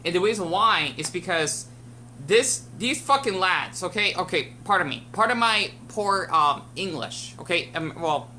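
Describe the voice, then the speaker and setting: A woman's voice: fairly low pitch, lively intonation, a little slow, bright, forceful tone, slight background noise. An American woman giving rambling casual commentary as a YouTube reactor, full of fillers and restarts. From United States.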